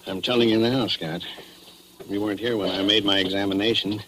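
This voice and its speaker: An actor playing a furtive, loyal small-town doctor in a 1940s radio drama, speaking in a hesitant, confiding way. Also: male voice, on the low side, fairly even intonation, brisk, natural voice. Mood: uneasy, confiding. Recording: noisy old radio recording.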